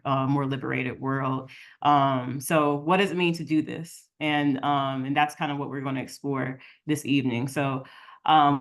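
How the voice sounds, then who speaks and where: A woman from the United States moderating an online roundtable informally, speaking haltingly as she thinks aloud. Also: extremely low pitch. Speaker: roundtable moderator, community organizer. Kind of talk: informal hosting remarks. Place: online video call.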